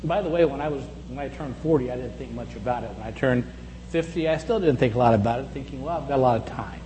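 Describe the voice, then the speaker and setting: A man in his sixties delivers a sermon in an easy, reflective way, slowing into deliberate pauses for emphasis. His voice sits at an ordinary pitch and carries the long reverb of a large hall, over a faint steady hum. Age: 60s. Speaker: pastor.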